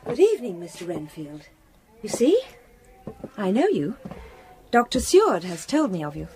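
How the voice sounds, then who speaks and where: A woman playing a kind, brave young married gentlewoman, polite and well-spoken, in an audio drama. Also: mid-range voice, huge pitch swings, normal speed, a little hiss or hum. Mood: composed, firm. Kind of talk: British radio drama acting.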